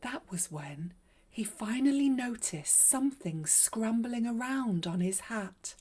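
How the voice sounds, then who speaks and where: A woman narrates a children's storybook at an unhurried, very slow pace. Her voice is breathy and soft-edged, and her read-aloud delivery is measured and expressive. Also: on the high side.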